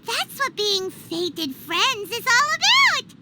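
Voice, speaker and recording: A woman voicing a tiny, excitable, childlike fairy sidekick in a video game, bubbly and dramatic, sweet and a little greedy. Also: extremely high pitch, slow pace, very noisy, heavily acted. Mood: eager. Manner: bubbly, dramatic, speaks in third person.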